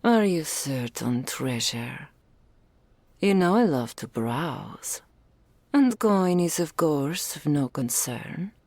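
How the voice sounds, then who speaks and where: A woman's voice: low voice, big pitch swings, takes it slowly, darkish tone, dry recording, heavily acted. A woman voicing a doting, regal female dragon in human form, speaking intimately to the listener in ornate, archaic phrases as an ASMR roleplay. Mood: doting, delighted.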